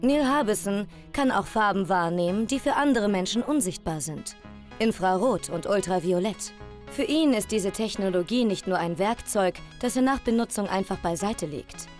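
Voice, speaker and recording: A woman's voice: mid-range voice, animated, normal speed, a little mellow, soft background music. A woman reading a scripted documentary voice-over in pronunciation. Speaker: documentary narrator.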